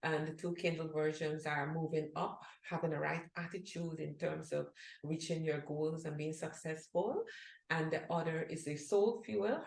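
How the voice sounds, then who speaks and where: Guest author, woman from Guyana, middle-aged, earnest, slightly low pitch, roomy sound. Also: vocal fry. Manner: earnest, reads a book blurb aloud. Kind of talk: interview answers. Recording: echoey room.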